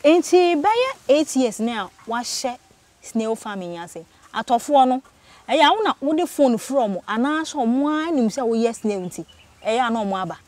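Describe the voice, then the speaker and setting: A young Ghanaian woman interviewing a farmer outdoors, brisk and full of quick affirmations. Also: on the high side, big pitch swings, a little mellow. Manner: brisk, frequent short affirmations. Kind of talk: casual field interview. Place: outdoors on a farm under trees.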